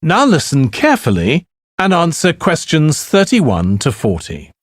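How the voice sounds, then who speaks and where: A man serves as exam announcer, reading scripted instructions formally and deliberately. His pace is slow and his pitch swings widely. The sound is dry, with no room to it. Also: huge pitch swings, no echo.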